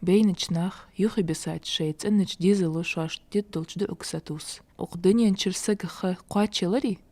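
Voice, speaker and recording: A woman voicing a kindly, patient grandmother in a cartoon dub, speaking in a measured, instructive way. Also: on the low side, mellow. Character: kindly, patient grandmother who teaches children.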